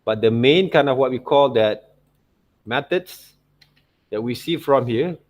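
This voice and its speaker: A man's voice: medium pitch, a little slow. A man giving an informal tutorial as a teacher, often checking in with little tag questions. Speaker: art teacher. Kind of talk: informal screen-share tutorial commentary.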